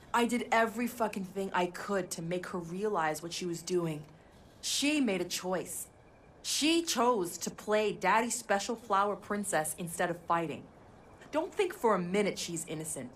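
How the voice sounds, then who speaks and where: An actress playing a bitter, tough young resistance fighter in a video game drama, blunt and intense. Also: female voice, fairly low pitch, slight background noise, natural voice.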